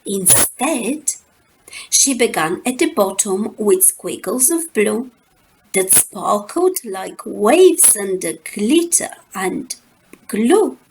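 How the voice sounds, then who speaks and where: A woman narrates a rhyming children's picture book in a lilting, very animated voice. She takes her time, stretching words and leaving dramatic pauses. A faint noise sits behind the reading. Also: medium pitch, low-quality recording. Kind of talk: expressive rhyming storybook narration.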